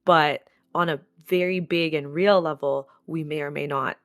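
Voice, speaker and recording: A woman's voice: deep voice, fairly even intonation, normal speed, no echo, studio quality. A woman from Canada chatting casually on a podcast, laughing often and swearing bluntly. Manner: giggly, blunt, swears freely. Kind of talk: casual chat.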